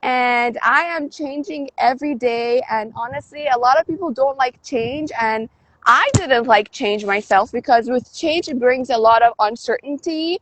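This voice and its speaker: A woman's voice: sits high, measured pace, rough recording. A woman from Canada, a DJ and life coach, talking upbeat and motivational in a livestream interview, with emphatic swings. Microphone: phone livestream.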